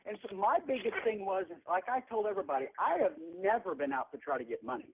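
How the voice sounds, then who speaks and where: A woman's voice: mid-range voice, expressive, fast talker, breathy edge, no echo. A woman speaking bluntly and earnestly in casual, emphatic talk on a conference call. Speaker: marshal speaking on group call. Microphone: telephone. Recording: tinny phone conference line.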